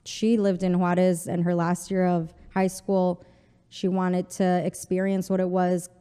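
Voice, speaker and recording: A woman's voice: fairly low pitch, completely flat delivery, a little slow, mellow, clear, resonant tone, big-room sound. A young woman from a Texas border town, whose first language is Spanish, chatting casually as an interview guest with lots of "like" and "you know".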